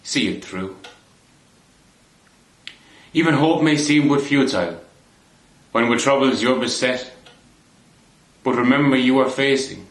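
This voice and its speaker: A man giving a measured, deliberate poetry recitation in a roomy indoor space. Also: medium pitch. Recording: roomy echo on the voice.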